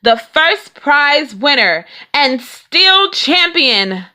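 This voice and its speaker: Female storybook reader, bright and higher-pitched, expressive and unhurried. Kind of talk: expressive children's read-aloud narration.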